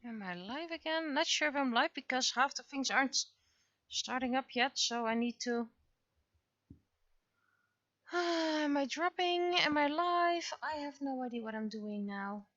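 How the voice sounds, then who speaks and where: A woman livestreamer chatting casually and haltingly, muttering to herself as she thinks aloud, on dull lo-fi audio. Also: fairly high pitch, fairly bright tone, dry recording. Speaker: livestreamer, webcomic artist.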